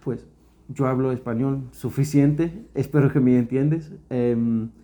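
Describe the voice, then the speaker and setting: A man from England, a native English speaker with an English accent, co-hosting a podcast in casual chat and speaking halting learner's Spanish with English words slipped in. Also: medium pitch, steady tone, dark tone. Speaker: podcast co-host.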